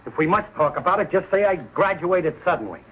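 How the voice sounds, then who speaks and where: A man's voice: high-pitched, animated, a little fast. A man playing a bumbling, evasive working-class husband and father in a 1940s television comedy, blustering and sheepish, with sloppy grammar. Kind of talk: 1940s television sitcom acting. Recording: muffled, noisy old 1940s TV soundtrack.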